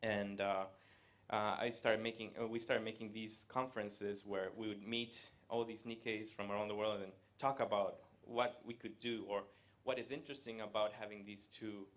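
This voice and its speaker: A man, giving a live presentation to an audience in a conversational way, pausing often to hesitate. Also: lowish voice, level tone. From Latin America. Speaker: guest speaker. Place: event room with a live audience.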